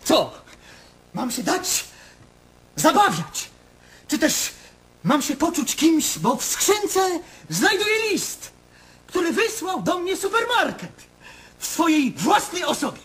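An actor in a radio play voicing an anguished, self-questioning middle-aged man, brooding and intense, speaking in halting monologues. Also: very high-pitched, huge pitch swings, a bit bright, full character voice. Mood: agitated, bitter.

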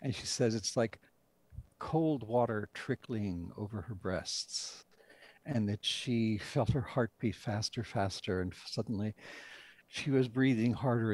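A man's voice: medium pitch, measured pace, darkish tone, no echo, low-quality recording. An older man speaking as a writer and director in an interview over a group video call. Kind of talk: interview discussion of a radio drama.

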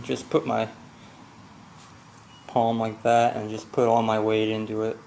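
A man with a gravelly, mid-pitched voice talks unhurriedly through a hands-on motorcycle job, stopping for fillers as he works. He is recorded off-camera in a garage that echoes noticeably, with a faint hum of ambience behind him. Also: darkish tone. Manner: slow, halting, filler-heavy explaining while working. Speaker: motorcycle vlogger. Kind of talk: casual how-to narration.

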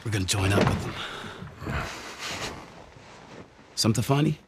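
A man's voice: lowish voice, animated, measured pace, gravelly, slight background noise. A man acting a wary, protective young American survivor in video game dialogue, with a streetwise, plain-spoken manner. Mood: defensive.

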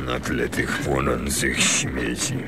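An actor playing a sentimental husband in a comic radio play, declaiming clumsy love verse in a halting way. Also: male voice, a bass voice, flat delivery, heavy background noise. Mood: wistful, nostalgic. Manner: halting, mock-poetic declamation. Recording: radio recording with loud music underneath.

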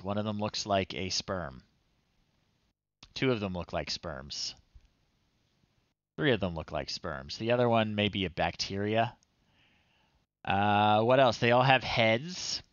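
Middle-aged male game streamer, bright forceful tone, lowish voice, wry and unscripted, slightly lo-fi close mic. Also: no room sound. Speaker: retro game streamer, host. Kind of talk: casual live game-stream commentary, reading on-screen text aloud. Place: small indoor room, livestream setup.

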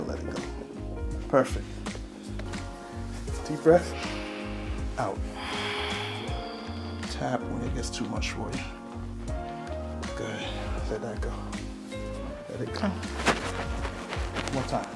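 Man, slow-paced, lively pitch. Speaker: chiropractor. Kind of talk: casual chat and calm treatment instructions. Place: small treatment room. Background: music.

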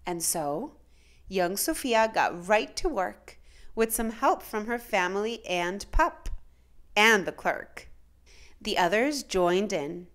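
A woman reading a children's picture book aloud in a dramatic, measured, expressive way. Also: mid-range voice. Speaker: children's storytime reader.

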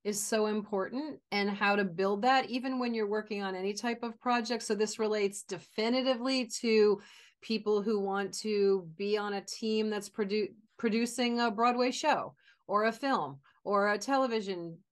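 A woman's voice: mid-range voice, steady tone, normal speed. An older woman hosting an interview, chatting in an encouraging way over a video call from her home office. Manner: encouraging, conversational. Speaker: host. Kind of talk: interview chat.

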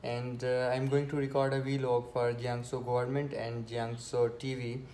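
A man's voice: mid-range voice, little pitch movement, moderate pace, a little mellow. Pakistani man vlogging in an echoey room. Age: 20s. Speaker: student vlogger. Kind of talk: casual vlog narration and interviewing. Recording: echoey rooms, faint background noise.

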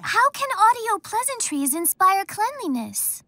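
A woman's voice: very high-pitched, big pitch swings, unhurried, no echo, exaggerated voice. A woman playing a sweet, naive alien girl in a cartoon with stilted, formal phrasing. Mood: puzzled. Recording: loud music behind the voice.

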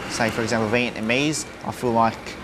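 A man's voice: medium pitch, fairly even intonation, normal speed. Casual young man, street noise. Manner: casual, many fillers. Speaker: interviewee. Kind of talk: casual interview.

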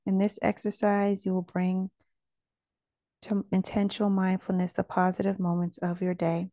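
A woman guiding a meditation in a gentle, calming manner. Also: mid-range voice, normal speed, no echo. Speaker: meditation guide. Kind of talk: guided meditation narration.

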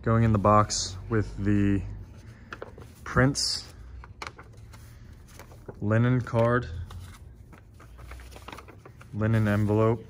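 Low-voiced young man vlogging on his phone. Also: level tone, drawn-out words, pauses often, slight background noise. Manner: thinking aloud, with fillers and restarts. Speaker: small business owner vlogging. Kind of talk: casual vlog narration. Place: empty warehouse and office, indoors. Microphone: phone camera held at arm's length.

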